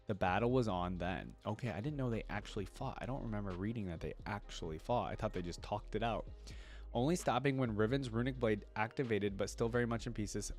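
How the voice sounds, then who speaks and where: A man reading game lore aloud as a reaction creator, breaking off for casual conversational asides. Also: on the low side.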